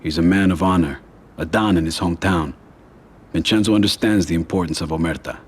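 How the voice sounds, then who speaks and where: An actor playing a cold, controlled mafia don in a video game, grave and measured, with an American accent. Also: male voice, low voice, breathy edge. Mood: calm, commanding. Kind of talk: video game cutscene acting.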